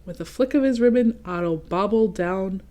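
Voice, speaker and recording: A woman reading a storybook aloud for young children, expressive, with chatty explanatory asides. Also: mid-range voice, normal speed. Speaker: children's read-aloud host.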